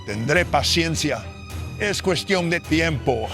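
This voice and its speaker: A man's voice: a little high, lively intonation, slow, vocal fry, voice pushed up, heavily acted. A man playing a lecherous, villainous moneylender in a horror radio drama, commanding, menacing and gloating. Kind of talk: Spanish-language horror radio drama acting. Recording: music behind the voice.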